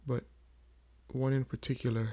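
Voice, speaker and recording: A man playing a weary, proud old vampire in an audio roleplay, speaking in a measured, brooding way with frequent pauses. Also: mid-range voice, level tone, warm tone, natural voice. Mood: cold, reflective. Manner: measured, brooding, pausing often. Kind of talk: slow dramatic audio roleplay monologue.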